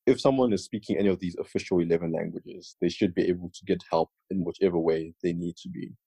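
Young male podcast co-host from South Africa, husky and dry. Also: sits low, quick pace, no room sound. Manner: relaxed, chatty, jokes with his co-host. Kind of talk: casual conversational podcast.

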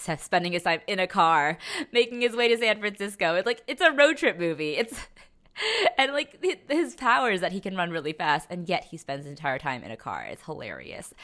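Low-voiced young woman podcast co-host. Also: a bit bright. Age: young adult. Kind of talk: casual opinionated movie chat.

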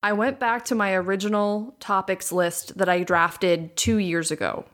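A young adult woman hosting a solo podcast, with a raspy voice and vocal fry worn from recording many times, talking candidly and repeating words for emphasis. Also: mid-range voice, steady tone, normal speed, a bit bright. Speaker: podcast host. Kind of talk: casual solo podcast talk. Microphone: USB condenser microphone.

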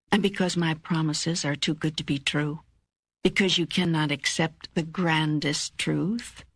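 An older woman voicing God as a calm, wise, authoritative teacher in an audiobook, with measured, emphatic phrasing and a husky voice. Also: low-pitched, no echo, studio quality. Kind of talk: audiobook dialogue reading.

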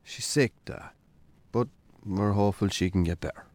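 An actor playing a troubled, dry-witted Irish farmer in a naturalistic radio drama, understated in manner. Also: male voice, lowish voice, moderate pace, darkish tone, natural voice. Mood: subdued.

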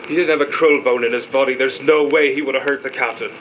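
An actor playing a hot-tempered, loyal stowaway prisoner, defiant and emotional, in an audio drama. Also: male voice, mid-range voice, steady tone, fast, phone-quality sound, a little hiss or hum, natural voice. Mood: pleading, earnest. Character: hot-tempered lower-class stowaway prisoner, fiercely loyal to his friend.

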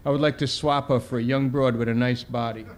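A man's voice: medium pitch, fairly even intonation, brisk, natural voice. Man doing a wisecracking grandfatherly old caller. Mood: joking. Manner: wisecracking, old-fashioned slang.

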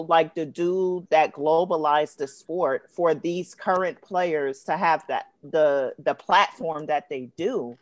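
A woman's voice: lowish voice, no room sound. A woman on a podcast panel, explaining her view emphatically in a casual sports discussion.

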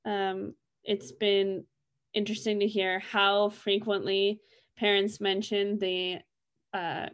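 A woman's voice: medium pitch, little pitch movement, slow pace, clean tone. A young adult woman talking casually and reflectively as a co-host in a discussion. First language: English.

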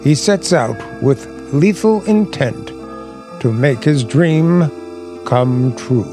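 A male announcer of vintage radio drama. He narrates slowly and solemnly in a medium-pitched voice, with measured drama and clear music behind him. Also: animated. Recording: vintage 1950s radio broadcast.

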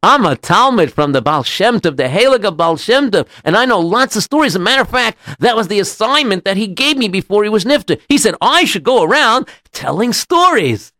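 An eager, earnest wandering rabbi, acted out by a male storyteller, pitched high and swinging widely. He stammers, gets excited easily and talks in a sing-song way, like a storybook hero. The sound is close and dry. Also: fairly quick, hardly any pauses, no room sound, full character voice.

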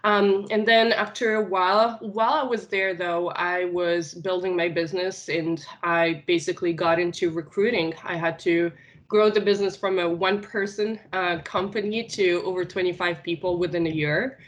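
A woman from Tunisia whose first language is Arabic, answering interview questions in a casual, unhurried way with many filler sounds. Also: lowish voice, steady tone, highs cut off. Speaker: finance recruiter, podcast guest.

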